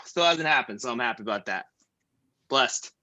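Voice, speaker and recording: A man in his thirties leading a stretch class, joking between calm breathing cues. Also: mid-range voice, moderate pace. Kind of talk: guided stretching class with casual chat.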